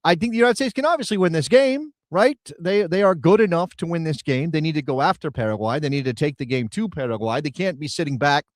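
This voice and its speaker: A man co-hosting a casual soccer talk show, emphatic and animated, often repeating words as he builds a point. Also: fairly high pitch, fast, few pauses, no echo, clean studio sound. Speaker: co-host and radio broadcaster.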